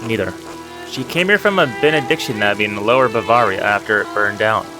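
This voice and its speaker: A man's voice: lowish voice, lightly acted, poor audio. Man playing a solemn, devout priest, music behind. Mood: matter-of-fact. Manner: measured, formal, pious phrasing. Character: solemn devout priest, gentle confessor, male. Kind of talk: reading aloud narrative game dialogue.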